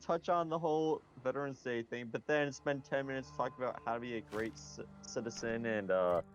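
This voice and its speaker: A man's voice: medium pitch, a little fast, dull audio, soft background music. A man chatting casually with friends over gaming voice chat, sweary and exasperated.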